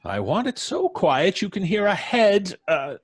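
A man's voice: sits high, very animated, husky, no echo, studio quality, pitched above the performer's own voice, heavily acted. A man playing an ominous, theatrical host of an old-time horror radio show, melodramatic and drawing out his words. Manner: slow, hushed-audience melodrama, drawn-out words. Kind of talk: 1940s radio acting. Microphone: studio microphone on a boom arm.

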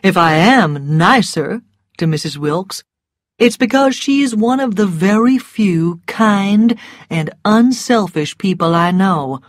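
A woman voicing a cynical, mocking Southern gentleman who speaks in a bored, lazy drawl, in a storybook reading. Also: medium pitch, expressive, slow pace, no room sound, studio quality. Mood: teasing.

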